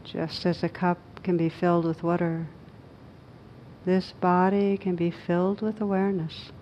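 A woman leads a guided meditation in a low voice that stays very even. Her phrasing is gentle and spacious, with short instructions set apart by pauses. The recording is slightly dull. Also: slight background noise. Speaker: meditation teacher.

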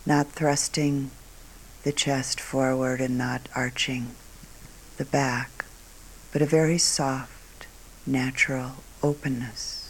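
Woman leading a guided meditation, really slow and calm, soft kinda breathy voice, lots of pauses. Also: very deep voice, a little hiss or hum, rough recording. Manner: calm, unhurried, short phrases with long pauses. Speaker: meditation teacher.

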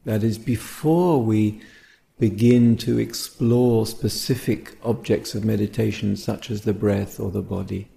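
A man guiding a meditation as a teacher, speaking gently and contemplatively in short phrases separated by pauses. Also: lowish voice, steady tone, vocal fry. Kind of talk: guided meditation talk.

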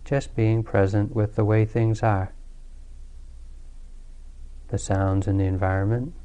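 A man leading a guided meditation as a teacher, speaking calmly and soothingly with long pauses. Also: lowish voice, clean tone. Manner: calm, unhurried, soothing delivery with long pauses.

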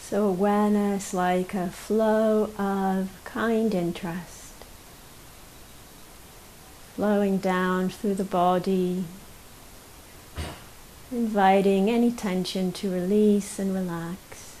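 A woman leading a guided meditation as a teacher, speaking gently and unhurriedly with long pauses. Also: medium pitch, fairly even intonation, poor audio. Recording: faint hiss behind the voice.

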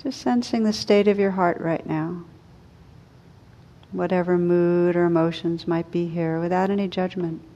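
A woman meditation teacher leading a guided meditation in a gentle, unhurried, soothing way with long pauses. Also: lowish voice, steady tone, muffled recording.

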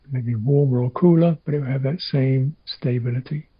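A man teaching a guided meditation in short, reflective phrases with long pauses, his voice gravelly and husky. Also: medium pitch, muffled recording.

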